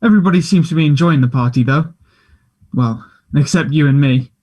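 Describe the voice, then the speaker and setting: Guy acting a teen schoolboy, kinda high voice, self-deprecating, earnest n teasing, table read of a play. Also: fairly quick, a little mellow, natural voice. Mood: shy, hesitant.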